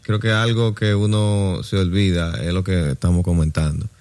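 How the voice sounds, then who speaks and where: Dominican guy w a lowish voice, chatting in a group, storytelling with rhetorical questions. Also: steady tone, normal speed, darkish tone. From Dominican Republic. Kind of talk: casual group discussion of faith.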